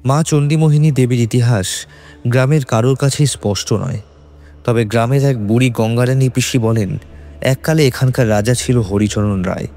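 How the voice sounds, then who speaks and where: A man's voice: mid-range voice, moderate pace, warm tone, soft background music. A man with a Bengali accent narrating a scripted horror story in a dramatic, suspenseful way.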